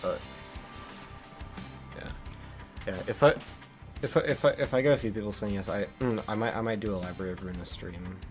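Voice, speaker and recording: A man's voice: lowish voice, brisk, long pauses, faint music behind. A young man live-streaming a game, chatting casually with lots of restarts and laughter while translating the lines.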